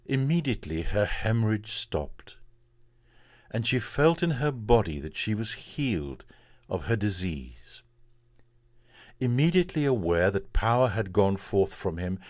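A man, a priest, reading from the Bible in a solemn, measured and deliberate way. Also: on the low side, dry recording.

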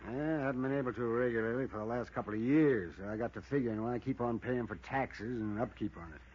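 An actor playing a resigned, glum cabin owner in a 1950s radio drama, folksy and plain-spoken. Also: male voice, medium pitch, fast, husky, natural voice. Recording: muffled, noisy old radio recording.

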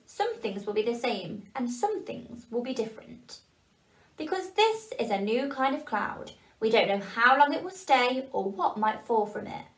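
A woman voicing a wise, kind woodpecker who explains things in a calm, reassuring way. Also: on the high side, roomy sound, natural voice, poor audio.

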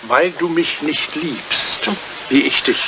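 A man's voice: on the high side, slow. An older man acting in a radio play as an irascible, self-pitying aging English lord, blustering, sputtering and repeating himself. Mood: bitter, pleading. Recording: noisy old radio play recording with clicks.

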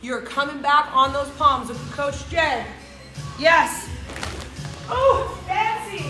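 A woman's voice: high-pitched, big pitch swings, takes it slowly, bright, forceful tone. A woman in her thirties leading a live workout class as a fitness coach, bubbly and encouraging, cheering and counting down the intervals. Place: large gym with hard walls. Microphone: camera mic a few steps away. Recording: echoey gym with music behind. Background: workout music.

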